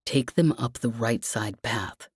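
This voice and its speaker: A controlled man hiding tension under measured calm as a woman narrator voices him. The voice drops low and moves slowly, in a space opera audiobook. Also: breathy edge, no echo, clean studio sound, full character voice. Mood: tense calm. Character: tense man keeping a measured, falsely calm voice.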